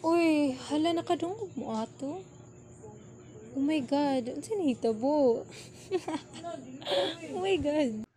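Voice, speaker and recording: Amateur radio play: young woman as gossipy teen classmate, high-pitched, casual, exclaiming. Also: expressive, slow, long pauses, mellow. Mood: gossipy surprise. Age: teens. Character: chatty, gossipy teenage female classmate.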